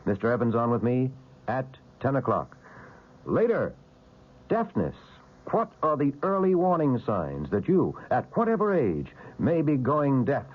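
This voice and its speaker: A man's voice: mid-range voice, wildly swooping pitch. Punchy man promoting a radio newscast. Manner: brisk, punchy, playful questions. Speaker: radio news anchor. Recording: dull lo-fi old radio broadcast.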